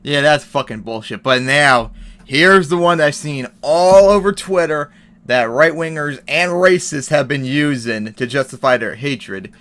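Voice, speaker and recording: A man's voice: a little high, expressive, measured pace, a bit bright. A man giving heated, profane commentary on an article and news clips, reading passages aloud and reacting. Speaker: commentary vlogger.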